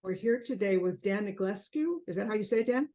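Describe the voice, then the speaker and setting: A woman hosting an interview, gently leading her guest and complimenting him. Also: medium pitch, expressive, fast talker. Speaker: interview host. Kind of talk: video interview.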